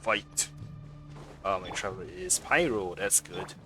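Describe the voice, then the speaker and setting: A man's voice: sits low, big pitch swings, unhurried, music in the background. A man doing casual let's play commentary and reading game text aloud as a streamer.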